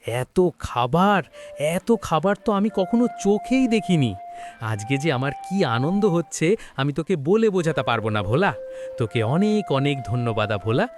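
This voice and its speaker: Man as a wide-eyed young village lad, cartoon story, excitable, earnest, swooping intonation. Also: a little high, moderate pace, music in the background. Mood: delighted, grateful. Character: innocent, excitable village boy.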